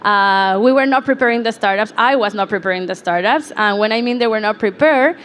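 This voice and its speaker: A woman's voice: medium pitch, a little fast, slight background noise. A woman from Chile, a program director, introducing herself on a conference panel in a storytelling way. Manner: storytelling, quotes others in lively voices.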